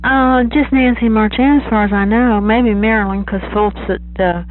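A hoarse older woman, a mother on a casual family phone call, giving short brushing-off replies. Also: fairly high pitch, normal speed. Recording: tinny phone line with hiss.

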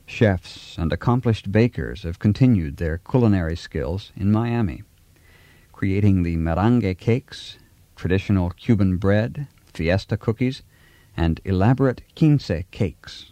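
A man reading scripted documentary narration in a measured, even, informative way. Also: deep voice, no echo.

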